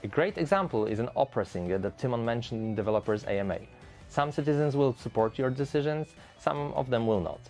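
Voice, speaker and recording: A man's voice: mid-range voice, expressive, fast, a little mellow, faint music behind. A man speaking as a game developer, giving prepared answers in a promotional interview.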